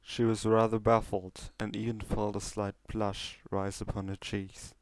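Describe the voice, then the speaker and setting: Low-voiced man narrating a story. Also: flat delivery, a little slow, no room sound. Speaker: story reader.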